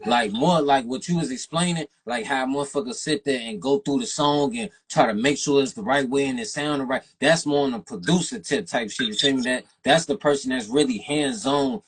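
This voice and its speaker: A man's voice: mid-range voice, expressive, brisk, few pauses, husky. A young man hosting a radio interview, talking loose and slangy with lots of "you feel me". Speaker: radio show host interviewing an artist. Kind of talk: casual interview chat.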